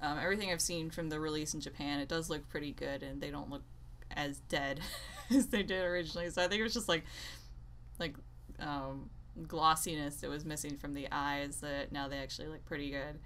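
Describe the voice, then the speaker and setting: A woman's voice: low-pitched, steady tone, fairly quick, fairly bright tone, a little hiss or hum. A woman gaming creator ranting casually and off the cuff, exasperated and rambling, often interrupting herself. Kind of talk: unscripted opinionated rant to viewers.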